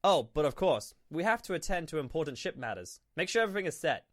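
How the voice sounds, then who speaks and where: A man's voice: on the high side, sing-song, fast, a bit bright. A man playing an obsequious, nervous Imperial officer in a Minecraft roleplay, flustered and over-polite. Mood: fawning. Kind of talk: hobby Minecraft roleplay acting.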